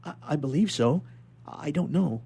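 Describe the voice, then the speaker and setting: A man performs an impassioned young prisoner from a classic adventure novel. The voice is pushed high and loud, with sweeping pitch and a slightly husky grain. He implores with formal courtesy and desperate earnestness. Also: lively intonation, moderate pace, full character voice. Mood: earnest pleading. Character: desperate, pleading young sailor held prisoner in a dungeon. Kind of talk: dramatic audiobook character reading.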